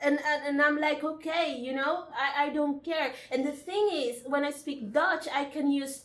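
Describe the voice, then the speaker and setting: A woman with a Spanish accent talks on a podcast, animated and full of quick restarts. Her voice sits a little high and rings in an echoey room. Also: a little hiss or hum. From Spain. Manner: animated storyteller, frequent restarts and laughs. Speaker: guest coach. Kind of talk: casual podcast conversation.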